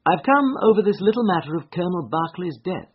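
A man's voice: high-pitched, animated, fast, breathy edge, highs cut off, pitched above the performer's own voice. A man voicing an affable, probing detective in an audiobook, precise, courteous and insistent in his questions. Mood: affable, assured. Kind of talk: audiobook character voice.

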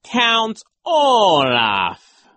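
Gloating storybook villain, super slow n theatrical, sly, pitched up and swoopy. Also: male voice, wildly swooping pitch, bright, forceful tone, no room sound, heavily acted. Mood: smug, mocking. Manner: slow, drawn-out, theatrical delivery. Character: sly gloating villain, disguised as a gym teacher.

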